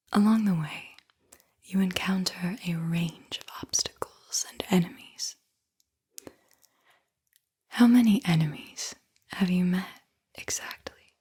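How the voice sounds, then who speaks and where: A woman whispering a guided visualization in an ASMR style, with a breathy, airy voice and a soothing, deliberate delivery. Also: lowish voice, a little mellow. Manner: slow, soothing, deliberate delivery with repeated phrases. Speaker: host, guided visualization narrator.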